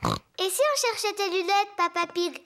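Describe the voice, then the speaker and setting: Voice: extremely high pitch, normal speed, bright, forceful tone. A performer voicing an eager, curious little girl piglet in a French children's cartoon, bubbly and inquisitive. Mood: curious, playful.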